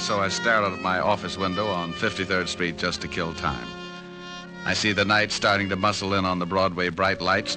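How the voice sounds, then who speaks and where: A man's voice: deep voice, lightly acted. An actor playing a wry, world-weary private detective, telling his story in slangy old-time radio narration. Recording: old radio recording with music behind. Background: music.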